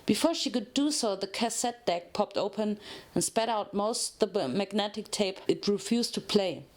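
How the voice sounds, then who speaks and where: A woman's voice: mid-range voice, lively intonation, moderate pace. Female narrator of dramatic fiction. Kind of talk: dramatic storybook-style narration.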